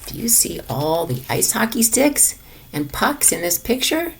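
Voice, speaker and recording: A woman reading a children's picture book aloud in an expressive way, as if to young listeners in a lesson. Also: mid-range voice, unhurried, gravelly, slight background noise. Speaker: storybook reader for a lesson.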